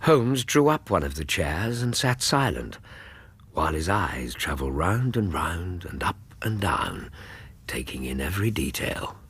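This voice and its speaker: An actor playing a loyal, observant Victorian gentleman who narrates a radio drama in an English accent, with a measured, literary storytelling manner. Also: deep voice, very animated, creaky, breathy edge, full character voice. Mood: measured, descriptive.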